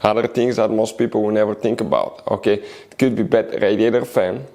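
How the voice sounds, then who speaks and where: Gravelly-voiced man giving repair instructions. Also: fairly low pitch, fairly even intonation, a little fast, a little mellow. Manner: often addresses viewers as "guys". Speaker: car repair video host. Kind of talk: instructional how-to narration.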